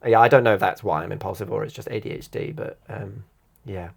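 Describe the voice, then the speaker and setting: A man who grew up in Singapore, a comedian guest in casual podcast chat, animated and quick to swear and laugh. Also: lowish voice, vocal fry, breathy edge. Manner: animated, swears freely, breaks into laughing bits.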